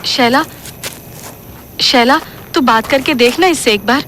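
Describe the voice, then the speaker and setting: A woman's voice: sits high, unhurried, a bit bright, a little hiss or hum. A woman playing a suspicious, chatty young woman who questions her friends in an audio drama. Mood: suspicious, uneasy.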